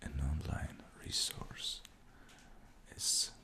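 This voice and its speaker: A man whose first language is Russian, whispering softly in an unhurried ASMR show-and-tell, pausing often with fillers. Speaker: ASMR creator.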